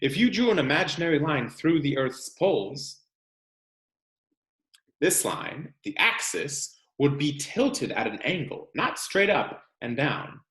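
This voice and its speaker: A man's voice: on the high side, measured pace. Man reading aloud expressively, roomy sound. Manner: expressive, explanatory, addressing children directly. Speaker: teacher reading aloud to students. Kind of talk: read-aloud of a children's science book with explanatory asides.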